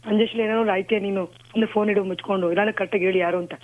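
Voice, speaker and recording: A woman's voice: medium pitch, steady tone, racing. A woman argues on a phone call in a curt, scolding, impatient manner. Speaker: prank call recipient. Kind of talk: argumentative phone conversation. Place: on a telephone call.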